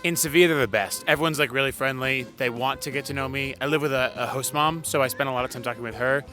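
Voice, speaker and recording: A man from New York who studies abroad, answering street interview questions in a quick, chatty way. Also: mid-range voice, sharp tone, faint music behind. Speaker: student interviewee. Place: outdoors on a city street.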